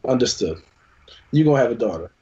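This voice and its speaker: A man's voice: medium pitch, lively intonation, fast talker, mellow, breathy edge, muffled recording. A man co-hosting a podcast, teasing the others in casual banter with mock-formal questions. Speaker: podcast co-host.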